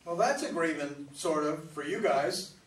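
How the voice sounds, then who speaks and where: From a little way off in an echoey room, a man plays a know-it-all son in his twenties. His high voice is dry and amused as he needles through a stage comedy. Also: expressive, a little slow. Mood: wry. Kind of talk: staged reading of a comedy play. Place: echoey room, mic away from performers. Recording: roomy distant stage recording, faint noise.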